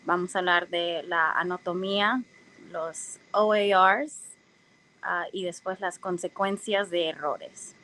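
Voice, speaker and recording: A woman whose first language is English, giving a technical lecture in Spanish, careful and unhurried, with frequent fillers and self-corrections. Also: on the low side, steady tone, pushed and bright, rough recording. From United States. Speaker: guest lecturer. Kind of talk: technical presentation.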